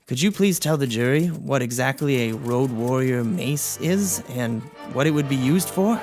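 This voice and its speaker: A man's voice: mid-range voice, expressive, normal speed, darkish tone, faint music behind, close to an everyday voice. A man playing an earnest, indignant hotshot prosecutor in a comedy audio drama, formal and emphatic. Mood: formal, determined.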